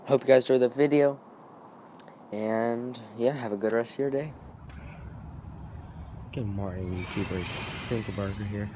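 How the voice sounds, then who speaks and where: A man's voice: mid-range voice, unhurried, pauses often, noisy background. A man hosting his own video channel, talking in a chatty, rambling way, his voice a little off after being sick. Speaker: channel host. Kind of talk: casual vlog talk to camera. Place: outdoors by a street in the early morning.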